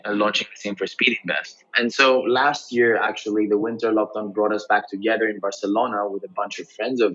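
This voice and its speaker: A man's voice: on the low side, a bit bright, husky, dull audio. A man from Greece telling his career story conversationally as an interview guest, often tagging phrases with "right?". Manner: frequent "right?" tags. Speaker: interview guest, venture investor.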